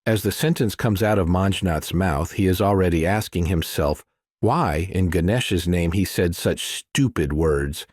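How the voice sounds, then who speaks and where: A man's voice: deep voice, a little slow, a little mellow, slightly rough, no echo. A man narrating a scripted thriller audiobook.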